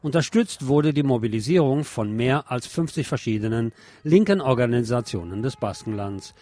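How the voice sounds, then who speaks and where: A man presents the news on a radio show. He reads reports in a mid-pitched voice and slips in sardonic, opinionated asides between items. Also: animated, moderate pace, warm tone. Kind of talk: news reading with opinionated commentary.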